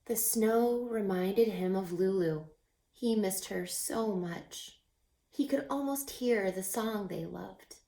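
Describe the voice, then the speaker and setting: A woman teacher reading a children's storybook aloud in an expressive, teacherly way, pausing to put questions to young listeners. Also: medium pitch, creaky. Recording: echoey, roomy sound.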